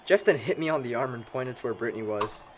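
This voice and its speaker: A man's voice: mid-range voice, expressive, fast, slight background noise, natural voice. A man playing a sarcastic, needling young man among longtime friends in an amateur audio drama. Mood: reminiscing.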